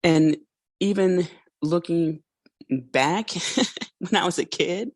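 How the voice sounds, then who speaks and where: A woman hosting a casual solo podcast, chatty and a little hoarse, laughing now and then and acting out small quoted asides. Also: on the low side, takes it slowly, no echo, studio quality. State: slightly hoarse. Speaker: podcast host.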